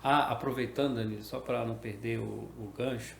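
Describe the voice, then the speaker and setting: A middle-aged man from Brasília, a guest explaining things in a measured way. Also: medium pitch, fairly even intonation, gravelly. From Brasília, Brazil. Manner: explanatory, measured, uses "tá?" tags. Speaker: guest, association president. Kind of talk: interview conversation. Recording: echoey room with faint background noise.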